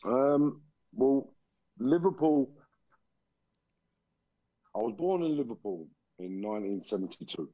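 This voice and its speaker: A man, a guest telling his life story slowly and reflectively with frequent pauses, over lo-fi remote call audio. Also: medium pitch, animated, dry recording. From England. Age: 40s. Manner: slow, reflective, many pauses and ums.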